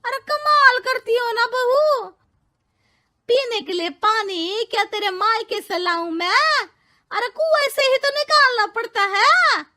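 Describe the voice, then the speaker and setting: A woman voicing a scolding, naive elderly mother-in-law in a cartoon story, with loud exclaiming rustic talk. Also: extremely high pitch, slow, voice pushed up, exaggerated voice. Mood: scolding, indignant.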